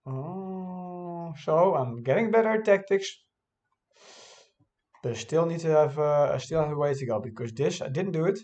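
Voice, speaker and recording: A man's voice: a little high, darkish tone, lo-fi sound. Hesitant man thinking aloud, non-native English. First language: not English. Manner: hesitant, self-correcting, thinks aloud with many fillers. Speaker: chess vlogger reviewing his own game. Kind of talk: casual thinking-aloud game review.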